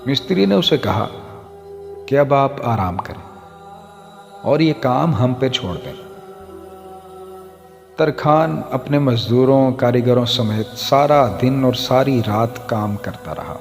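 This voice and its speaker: Slow-paced male storyteller in heavy reverb. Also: mid-range voice, animated, vocal fry. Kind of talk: scripted moral story narration. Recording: long hall reverb, music underneath. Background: background music.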